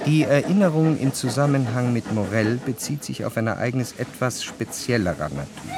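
An actor playing a reflective first-person narrator, delivering measured literary prose for a radio drama. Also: male voice, medium pitch, animated, over music, lightly acted. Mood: wry, measured. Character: reflective male narrator recalling his memories, literary and measured.

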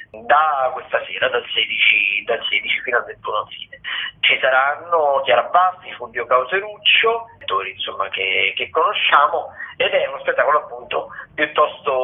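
A man at an ordinary pitch talks through the theatre week as a regular critic. He rambles and leans on fillers and restarts. The audio is narrow and phone-like. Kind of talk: radio theatre listings.

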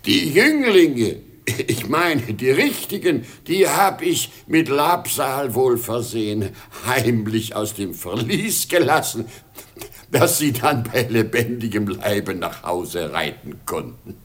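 Slow, sentimental old emperor. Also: male voice, medium pitch, sing-song. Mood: relieved, confiding. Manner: theatrical, halting delivery. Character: sentimental old emperor, weepy, kindly, slyly confiding. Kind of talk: 1950s German radio play acting.

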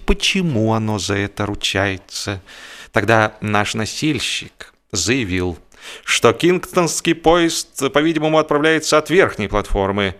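A man narrating a humorous literary story in an expressive, measured, mock-solemn way. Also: fairly low pitch. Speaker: audiobook narrator.

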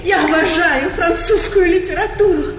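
A woman's voice: very high voice, normal speed. An actress playing a frivolous, haughty aristocratic lady in a stage comedy, gushing affectedly with French words sprinkled in. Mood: amused, gushing. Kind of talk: theatrical stage comedy acting. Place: theatre stage. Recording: echoey theatre stage, distant, hissy 1980s recording.

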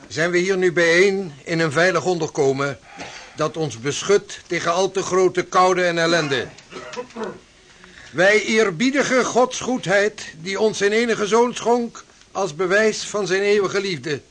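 An actor playing a pious, authoritative leader of stranded sailors in a radio drama, speaking solemnly and deliberately. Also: male voice, sits high, fairly bright tone, slight background noise.

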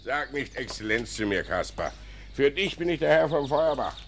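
An actor playing a kindly, dignified elderly jurist in a radio drama, measured and fatherly, gently lecturing his ward. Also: mid-range voice, lively intonation, a little hiss or hum, lightly acted.

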